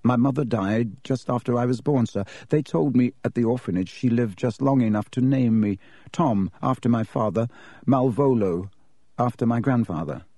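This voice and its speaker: A man voicing a guarded, polite sixteen-year-old boy prefect who speaks quietly and in a clipped way. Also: mid-range voice, moderate pace, no echo, studio quality, close to an everyday voice.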